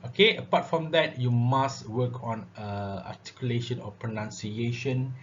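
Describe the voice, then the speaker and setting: A man's voice: medium pitch, moderate pace. A man giving a classroom lecture on public speaking, breaking his sentences with "uh", "okay" and "all right". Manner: filler-heavy, repeats "all right" and "okay". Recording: dull lo-fi recording with faint noise.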